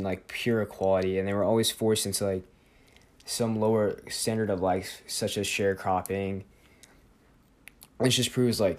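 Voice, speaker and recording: A teenage boy with a lowish voice hosts a student podcast. He talks in a loose, unscripted way, stumbling over words, correcting himself and leaning on filler words as he goes. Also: darkish tone. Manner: rambling, with many fillers and self-corrections. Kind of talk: casual spoken presentation and interview.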